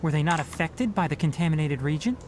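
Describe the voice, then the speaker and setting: A man's voice: a little high, quick pace, noisy background, lightly acted. An actor playing a blunt, no-nonsense young male forest ranger in a video game, who talks curtly. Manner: curt, cuts people off. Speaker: forest watcher. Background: game music.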